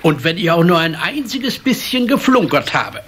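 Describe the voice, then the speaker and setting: A fussy but kindly talking carp in a children's radio play. He speaks earnestly in a fairly high, very animated voice, with light tape noise. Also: moderate pace. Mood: earnest, pleased. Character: fussy, good-natured male carp, the boy's friend. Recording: faint hiss of old cassette.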